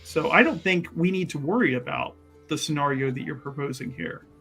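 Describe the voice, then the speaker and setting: A scheming adventurer played by a man talks in a fairly high, very bright voice. He wheedles and hedges, patting his partner on the head with mock-earnest reassurance, in the loose improvised manner of a tabletop game. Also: fairly quick, vocal fry, breathy edge, soft background music, exaggerated voice. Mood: falsely reassuring. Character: smooth-talking scheming male adventurer, condescendingly reassuring. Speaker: tabletop role-playing player. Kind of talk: improvised in-character actual play.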